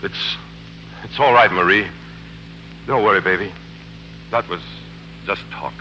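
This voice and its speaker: An American actor playing a smooth, sardonic, tormented businessman and father in a 1940s radio drama. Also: sits low, measured pace, sharp tone, muffled recording. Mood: tender, soothing. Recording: humming, noisy 1940s radio recording.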